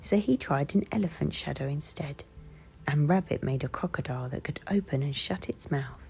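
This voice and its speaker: A woman reading a bedtime storybook aloud to children in a soft, breathy voice, with music behind. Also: low-pitched, moderate pace. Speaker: children's book reader.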